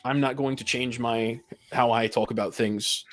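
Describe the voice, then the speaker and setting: A man's voice: mid-range voice, fairly even intonation, moderate pace, fairly bright tone. A man in a casual podcast discussion, speaking in hesitant, stop-start phrases. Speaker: podcast panelist. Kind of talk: casual chat.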